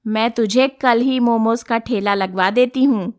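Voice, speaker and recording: Cartoon story role: scheming shopkeeper mum, voiced by a woman, greedy and disapproving, high-pitched, very unhurried speech. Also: close to an everyday voice. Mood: calculating. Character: jealous, greedy middle-aged shopkeeper mother. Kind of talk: Hindi animated moral story dialogue.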